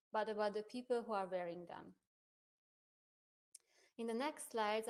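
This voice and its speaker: A young woman whose first language is Hungarian, a research associate presenting a prerecorded conference paper in a measured, careful academic manner. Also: medium pitch, a little mellow. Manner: measured, careful academic delivery with occasional restarts.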